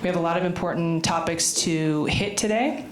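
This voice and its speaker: A woman moderating a conference panel, lively and playful, with a loud voice. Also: on the low side, normal speed. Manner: lively, playful, talks loudly. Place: conference room. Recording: roomy echo, faint room noise.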